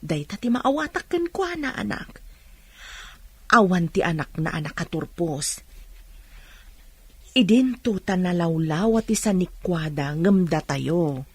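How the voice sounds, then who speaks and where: Husky, expressive Ilocano village mother, acted by a woman. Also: lowish voice, a little slow, long pauses, dry recording, exaggerated voice. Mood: gentle, advising. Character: patient, forgiving village mother counselling her children. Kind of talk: Ilocano radio drama acting.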